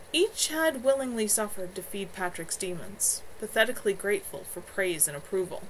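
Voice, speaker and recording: A woman reading her own novel aloud as an author on a home livestream, in an expressive amateur narration style. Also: mid-range voice, a little hiss or hum, rough recording. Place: at home, in a room with a bed.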